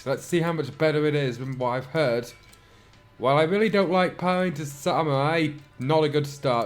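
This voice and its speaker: Man with a sore throat, mocking asides. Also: fairly high pitch. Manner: dry, mocking asides, frequent throat clearing. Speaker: channel host reading fan fiction. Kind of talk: dramatic reading with sarcastic commentary.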